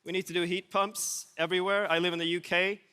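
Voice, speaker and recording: A man presents to a conference in a high, clean voice. His delivery is casual and dryly wry, and the sound rings with the long reverb of a large hall. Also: flat delivery, quick pace, bright, forceful tone, smooth. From Sweden. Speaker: conference speaker.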